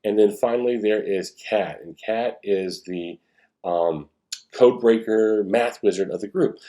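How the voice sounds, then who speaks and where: Male author for informal read-aloud. Deep, soft-edged, dark tone, conversational delivery. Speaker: author reading aloud from their book.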